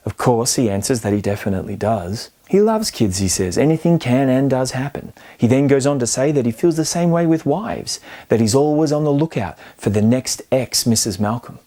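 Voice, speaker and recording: A man narrates a film commentary as its host. His voice sits at a medium pitch with a soft, dark-edged tone. The delivery is scripted yet colloquial, making its case with playful emphasis. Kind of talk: scripted video-essay narration.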